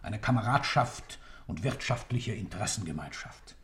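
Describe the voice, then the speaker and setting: A man's voice: on the low side, expressive, moderate pace, fairly bright tone. An actor playing a fanatical accused killer in a German radio play, declaiming his creed of order with insistent, rhetorical intensity. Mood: insistent, scornful.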